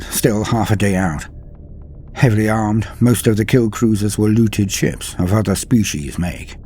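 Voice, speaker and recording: A man reading dramatic scripted war fiction as a storyteller, in a grave, measured delivery with deliberate pauses and falling phrase endings. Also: fairly low pitch, darkish tone, slight background noise. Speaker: narrator of a fan-written war story. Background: music under the voice.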